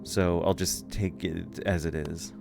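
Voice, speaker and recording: A man's voice: deep voice, fairly even intonation, measured pace, creaky. A man voicing a skeptical, reluctant young girl who has lost her memory, reading game dialogue aloud over music.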